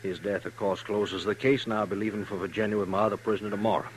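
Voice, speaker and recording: Actor as officious, low-voiced visiting lawman. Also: fairly even intonation, fast talker, lightly acted, rough recording. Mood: brisk, satisfied. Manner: formal, crisp, self-assured. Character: brisk, officious lawman from back East. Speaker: radio drama actor. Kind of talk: 1950s radio western acting. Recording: hissy 1950s radio broadcast recording.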